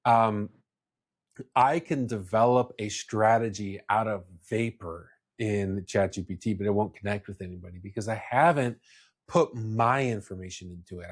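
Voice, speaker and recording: A man's voice: fairly low pitch, unhurried. A man hosting a podcast, talking casually and explaining with personal examples, often repeating words as he goes. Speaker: podcast host.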